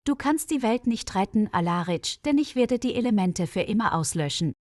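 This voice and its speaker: A woman's voice: mid-range voice, animated, normal speed, darkish tone, dry recording, clean studio sound, close to an everyday voice. A woman voicing a shadowy, menacing, boastful villain in a storybook tale. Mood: threatening. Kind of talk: storybook character dialogue.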